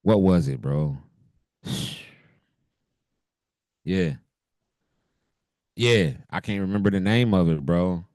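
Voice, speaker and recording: Deep-voiced, hoarse man in laid-back chat. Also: slow pace, pauses often, darkish tone, no room sound, clean studio sound. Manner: laid-back, laughs often, loose slang. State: hoarse from a cold. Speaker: podcast co-host. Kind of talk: casual chat.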